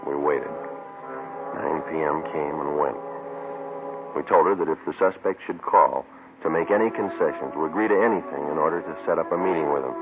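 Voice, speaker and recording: Tinny old radio sound with music behind a police sergeant. His extremely deep voice narrates in clipped, deadpan phrases, like scripted crime drama. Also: a little fast. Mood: deadpan, terse. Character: terse, matter-of-fact male police sergeant narrating his case. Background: dramatic music score.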